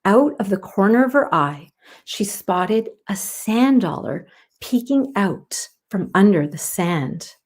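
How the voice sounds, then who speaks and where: Animated, slow-paced woman reading a story. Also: mid-range voice. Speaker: read-aloud narrator. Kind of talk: expressive children's story reading.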